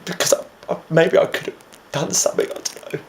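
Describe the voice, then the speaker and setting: Male actor as grieving man, creaky voice, earnest, halting, repetitive, frustrated, stage monologue, faint background noise. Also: on the low side, lively intonation. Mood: mournful regret. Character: grieving, frustrated man mourning a talented musician friend.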